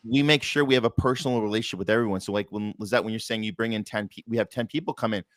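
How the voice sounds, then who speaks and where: A man's voice: fairly low pitch, rapid-fire, a bit bright, dry recording, studio quality. An American man hosting an arts community show, chatting informally and enthusiastically and making announcements. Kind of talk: casual chat with announcements.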